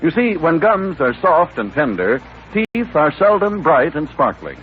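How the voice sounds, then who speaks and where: A man's voice: fairly high pitch, big pitch swings, a little slow. An American man announcing in polished, earnest 1930s radio advertising style. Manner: polished, earnest sales delivery. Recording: tinny, noisy 1939 radio broadcast.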